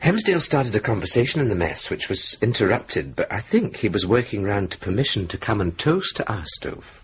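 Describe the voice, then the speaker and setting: Lively-toned, low-pitched young RAF pilot. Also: male voice, fairly quick. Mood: teasing banter. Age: young adult. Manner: clipped, understated banter. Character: young RAF pilot officer, teasing, understated, amiable. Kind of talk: 1980s radio drama dialogue. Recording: dull, hissy old radio recording.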